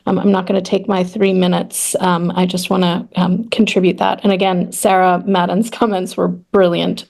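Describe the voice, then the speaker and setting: A parent giving polite, hesitant public comment over a video call, full of ums. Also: female voice, mid-range voice, gravelly, darkish tone.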